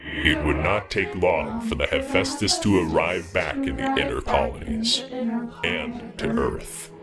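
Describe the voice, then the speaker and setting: A man's voice: low voice, wildly swooping pitch, unhurried, over loud music, heavily acted. A man playing the solemn narrator of a space saga, telling scripted dramatic narration.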